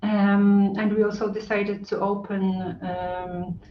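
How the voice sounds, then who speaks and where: Slow, halting woman on a call. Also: medium pitch, flat delivery. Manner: halting, with frequent ums and uhs. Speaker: hub representative on a video call. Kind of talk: hesitant online talk with many fillers. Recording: roomy, distant, muffled call audio.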